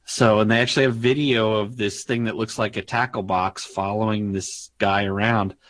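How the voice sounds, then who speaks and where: A man's voice: fairly low pitch, fairly even intonation, normal speed, fairly bright tone, no room sound. A man hosting a casual tech news chat, rambling with fillers and laughing often. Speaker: podcast host.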